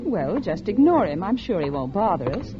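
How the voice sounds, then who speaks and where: A woman's voice: mid-range voice, sing-song, normal speed, music in the background, lightly acted. An actress playing a poised, guarded society woman with genteel manners in a 1940s radio drama. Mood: amused, dismissive. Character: poised society woman reuniting with an old flame. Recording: noisy muffled old radio recording.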